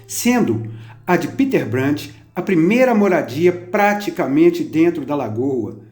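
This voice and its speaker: A man reads a documentary script in a measured, steady way. His voice sits a touch high yet sounds mellow and warm. The sound is roomy, with faint instrumental music underneath. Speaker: documentary narrator. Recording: roomy narration over soft instrumental music.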